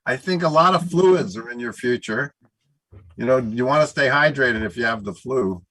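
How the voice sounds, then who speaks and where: A man's voice: medium pitch, lively intonation, moderate pace, a bit bright, no room sound, rough recording. A man hosting a live call-in show over a video call, chatty and joking. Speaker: host.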